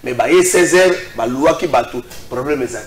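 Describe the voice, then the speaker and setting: Un homme d'une quarantaine d'années animant un débat télévisé, qui parle de façon hésitante avec beaucoup d'hésitations. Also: male voice, medium pitch, echoey room. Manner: halting, many fillers. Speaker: TV debate host. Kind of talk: TV debate moderation. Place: TV studio set.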